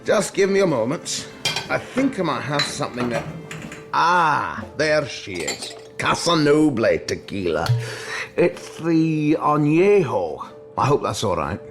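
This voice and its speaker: An actor playing a genial, chatty old immortal tavern keeper in an audio drama, with a jovial, teasing, folksy manner. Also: male voice, fairly high pitch, very animated, a little slow. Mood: friendly. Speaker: barkeep. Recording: music under the dialogue.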